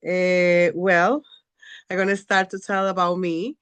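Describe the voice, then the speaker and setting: A woman's voice: fairly low pitch, level tone, takes it slowly, a bit bright, clean tone, no room sound. Woman from el salvador, earnest, repeats herself to push the point, dry sound. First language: Spanish. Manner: earnest, repeats phrases for emphasis. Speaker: panel speaker. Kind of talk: encouraging talk to an audience.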